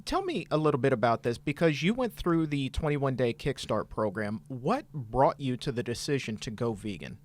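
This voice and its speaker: A man's voice: mid-range voice, big pitch swings, normal speed, hardly any pauses. A man hosting a podcast, interviewing his guest in an upbeat, encouraging way. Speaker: podcast host. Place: studio table.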